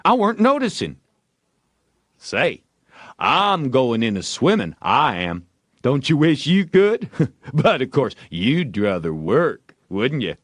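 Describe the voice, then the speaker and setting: A man voicing an excitable young village boy with folksy dialect, by turns cocky and pleading, in an audiobook. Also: medium pitch, slow, dry recording, pitched above the performer's own voice, exaggerated voice. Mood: cocky, teasing. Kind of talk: audiobook character voice.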